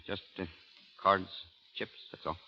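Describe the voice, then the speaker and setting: An actor playing a shifty, nervous, subservient saloon lackey, hesitant and mumbling, in 1930s radio style. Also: male voice, unhurried, lightly acted. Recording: muffled, hissy old radio recording.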